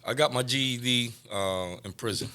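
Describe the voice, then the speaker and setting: A man in his forties from Cuba, a guest on a recovery podcast, telling his life story candidly and often trailing off softly. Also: mid-range voice, normal speed, clean tone. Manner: candid, soft-spoken, trails off quietly.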